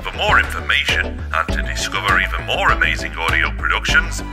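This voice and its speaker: A male announcer reads scripted credits. His low voice moves with lively intonation, and music plays clearly behind him. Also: brisk.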